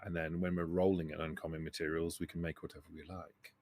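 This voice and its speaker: A man's voice: low-pitched, little pitch movement, quick pace, darkish tone, slight background noise. A man talking casually during a tabletop roleplaying game.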